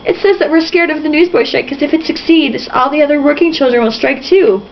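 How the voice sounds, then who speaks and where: A woman's voice: high voice, a little fast, vocal fry, voice pushed up. A woman reading a storybook aloud as an earnest, idealistic young sister who exclaims with feeling. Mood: earnest, moved. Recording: dull lo-fi sound, faint noise.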